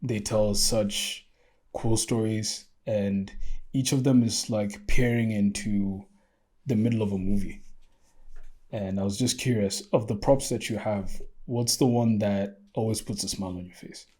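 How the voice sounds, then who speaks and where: A man's voice: on the low side, fairly even intonation, measured pace, dark tone. A man hosting an interview, asking his guest questions in a hesitant, friendly way with many fillers. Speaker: podcast host.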